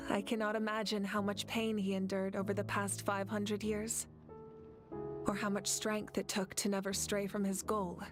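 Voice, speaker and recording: A woman's voice: medium pitch, level tone, a little slow, close to an everyday voice. A woman voicing a sorrowful, reflective warrior in a game cutscene, subdued and sighing. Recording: game music behind voice.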